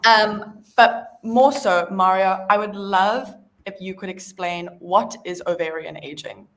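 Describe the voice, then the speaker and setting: Woman, South African accent, medium pitch, casual host, conversational online discussion. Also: measured pace, fairly bright tone, low-quality recording.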